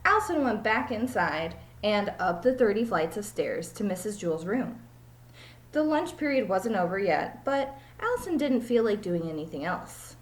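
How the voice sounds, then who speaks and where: A woman's voice: mid-range voice, very animated, normal speed. A woman reads a children's storybook aloud like a teacher. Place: small home room, indoors. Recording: echoey room, webcam mic.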